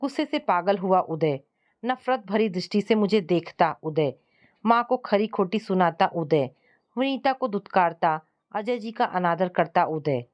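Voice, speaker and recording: A woman's voice: on the low side, lively intonation, unhurried, darkish tone, lo-fi sound. A woman reading a scripted first-person family story as its narrator.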